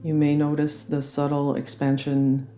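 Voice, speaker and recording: Mellow, even-toned meditation guide with soft music. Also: medium pitch. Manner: gentle, soothing, unhurried instruction. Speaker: yoga nidra meditation guide.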